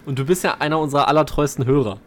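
A man's voice: a little high, rapid-fire. A young man, co-hosting a podcast in casual chat. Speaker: podcast co-host.

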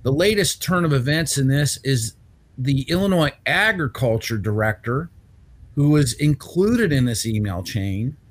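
A man's voice: medium pitch, animated, unhurried. A man hosting a news commentary segment as publisher, talking casually with rambling restarts.

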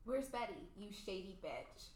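A woman's voice: medium pitch, very animated, measured pace. Bold teenage city girl in an echoey room played by a woman. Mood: annoyed. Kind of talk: amateur radio play acting. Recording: roomy echo with background noise.

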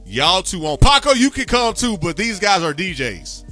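A man hosting a casual podcast chat, with a deep voice, animated and quick to cut in with agreement. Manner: animated, talks over guest with quick affirmations. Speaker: podcast host. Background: background music track.

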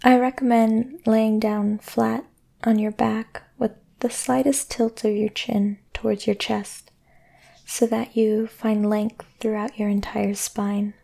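A woman guiding a sleep meditation in a soothing, gentle way, speaking deliberately with long pauses. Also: fairly high pitch, little pitch movement, creaky. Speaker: meditation guide and podcast host. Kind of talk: guided sleep meditation, yoga nidra.

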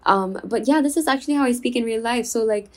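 A young woman from Singapore hosting her own podcast in a chatty, confiding way, enunciating clearly with a soothing voice. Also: on the high side, fast talker. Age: 20s. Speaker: podcast host. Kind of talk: casual solo podcast chat.

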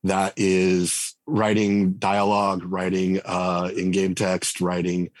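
A man's voice: low-pitched, completely flat delivery, slow pace, dry recording. A man speaking as a podcast guest, talking in a thoughtful, rambling way with lots of fillers and restarts. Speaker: game writer and designer guest. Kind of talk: conversational podcast interview.

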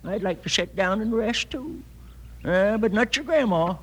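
An actor playing a crotchety, weary old grandpa with a folksy American manner of speech in an old-time radio western. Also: male voice, sits high, measured pace, slightly rough, heavily acted. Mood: wry, weary. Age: older. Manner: animated, drawling, folksy. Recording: old radio recording, faint noise.

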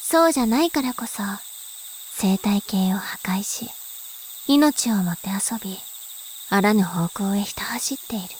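Woman voicing a calm mysterious big sister. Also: medium pitch, a little hiss or hum, lightly acted. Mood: musing. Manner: slow, soft, musing. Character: calm mysterious older sister, gently philosophical. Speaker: older sister. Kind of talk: voice drama acting. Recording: faint insect and cricket ambience.

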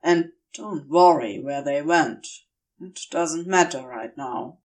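A woman's voice: low voice, slow pace, a little mellow, far from the mic, pitched below the performer's own voice. A woman voicing a protective male demon with a dry, clipped but reassuring manner.

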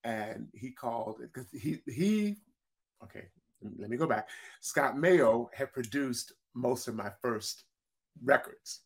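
A man with a deep voice, a singer-songwriter, telling stories in a relaxed interview and often restarting his words. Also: slow pace, slightly rough. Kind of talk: casual interview storytelling.

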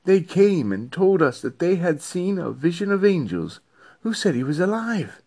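A man voicing an earnest, troubled disciple in a dramatized scripture reading, animated and emphatic, with a husky voice. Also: high-pitched, normal speed, pitched above the performer's own voice, heavily acted. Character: earnest, troubled male disciple recounting recent events.

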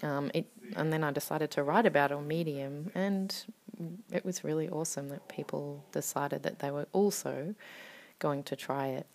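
A woman poet speaks casually as a podcast guest, pausing on ums and letting her words trail off softly. Her voice is very low with a gravelly fry in it. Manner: hesitant, many ums, soft trailing-off words. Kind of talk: casual interview talk.

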